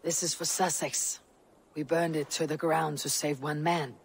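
A woman's voice: low-pitched, steady tone, unhurried, slight background noise. An actress playing a loyal, stoic Viking warrior woman, restrained and earnest, in a dramatic video game. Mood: frustrated.

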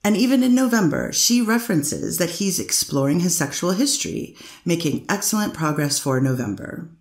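A lower-voiced woman attorney questions a witness in an echoey courtroom. She builds her case with leading questions and tag endings that push for agreement. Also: big pitch swings, moderate pace. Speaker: defense attorney. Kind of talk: courtroom cross-examination.

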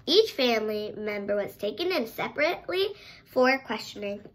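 A young girl reading a story aloud with dramatic, drawn-out emphasis, sometimes stumbling over words. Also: fairly high pitch, animated, rough recording. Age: child, around 8 to 10. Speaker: child presenter reading a picture book aloud. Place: bedroom, indoors.

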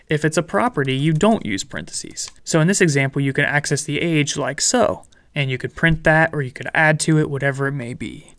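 A young man explaining programming in a relaxed, friendly way over a screen recording, with light jokes. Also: fairly high pitch, clean tone, no echo, studio quality. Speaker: programming instructor. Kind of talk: screen-recorded coding tutorial.

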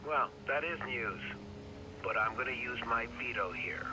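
An actor playing a smug, threatening criminal blackmailer in a video game, taunting and sardonic. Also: male voice, medium pitch, a little slow, close to an everyday voice. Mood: stern threat. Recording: phone call over car noise.

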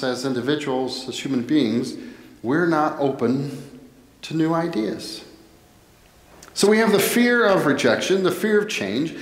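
A middle-aged American man giving a sermon as a pastor, speaking deliberately in short phrases with pauses. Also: fairly high pitch. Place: indoor church, at a pulpit. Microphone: headset microphone. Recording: echoey church with long reverb.

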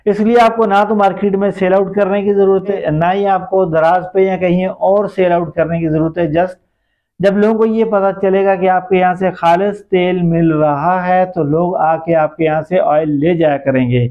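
A man's voice: high-pitched, fairly even intonation, moderate pace, lo-fi sound. A middle-aged man with a Pakistani accent, explaining things to viewers as the host of an online video. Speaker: YouTube host. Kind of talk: explanatory business-idea vlog addressed to viewers. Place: small plain indoor room.

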